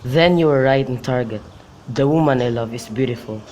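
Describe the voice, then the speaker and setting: A man's voice: mid-range voice, brisk, a little mellow, lightly acted. A young man playing a lovesick, brooding youth in an amateur radio drama of modern-English Shakespeare, with birdsong behind him. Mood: dejected, evasive. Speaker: student actor. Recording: faint background noise with birdsong.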